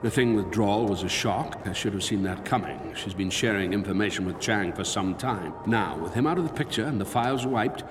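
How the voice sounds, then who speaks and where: An actor playing a middle-aged, commanding and calculating corporate boss in a video game, speaking in an authoritative, clipped way. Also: male voice, lowish voice, brisk, hardly any pauses, natural voice. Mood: measured, confiding. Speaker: corporate executive. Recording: long reverb under music.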